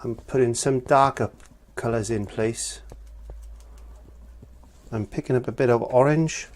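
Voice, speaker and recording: A hoarse, croaky man from Wales, middle-aged, talking through an acrylic painting lesson with plain step-by-step remarks as he works. His voice sits at an ordinary middle pitch. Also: measured pace. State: hoarse from laryngitis. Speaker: art teacher. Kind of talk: painting tutorial.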